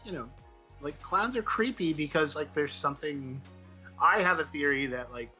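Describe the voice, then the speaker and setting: A man with a very bright, forceful voice talks as a guest in a casual interview. He tells stories in a rambling, wry way, over a faint bed of music. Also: fairly high pitch, normal speed. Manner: rambling, wry, informal storytelling. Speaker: guest comics writer.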